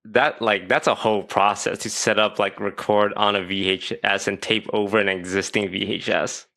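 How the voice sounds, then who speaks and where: A man in his thirties from Malaysia with a gravelly, low voice, trading wry stories on a casual podcast. His sound is close and dry, with no room around it. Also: little pitch movement, moderate pace, pushed and bright, dry recording. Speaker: podcast co-host. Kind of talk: casual chat.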